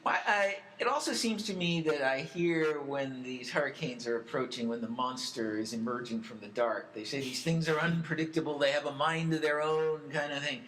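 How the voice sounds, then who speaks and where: A male radio talk show host putting questions conversationally, in a halting way, often rephrasing them mid-sentence. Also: a little high, a bit bright, slight background noise, rough recording.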